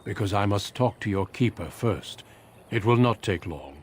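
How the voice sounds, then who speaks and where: An actor playing a calm, serious veteran warrior in a fantasy video game, speaking formally and deliberately. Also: male voice, lowish voice, slight background noise, natural voice. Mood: grave, reserved.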